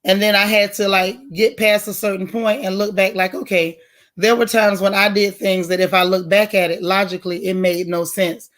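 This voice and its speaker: A woman with a heavy, loud voice that has bass in it, hoarse at the moment, talking directly and emphatically while she hosts a casual livestream and answers chat comments. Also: steady tone, moderate pace.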